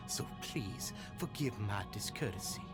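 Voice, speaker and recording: A man's voice: lowish voice, expressive, measured pace. An actor playing a scheming male cult leader in a video game, with overly polite, insincere courtesy. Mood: oily courtesy. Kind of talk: dramatic video game voice acting. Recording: loud music behind the voice. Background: game soundtrack music.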